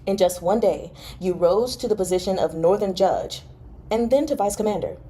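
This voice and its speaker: A tender and devoted young nobleman in a novel read aloud, voiced by a woman. The voice is soft-edged and mellow, with a restless, earnest romantic temper. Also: mid-range voice, big pitch swings, normal speed, lightly acted. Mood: concerned, intimate. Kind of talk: expressive audiobook-style novel reading.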